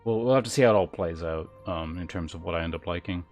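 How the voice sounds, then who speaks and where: A man livestreaming a video game, chatting casually and reading aloud, thinking out loud in a rambling way with wry asides. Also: deep voice, fast talker. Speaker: game streamer. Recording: music behind the voice.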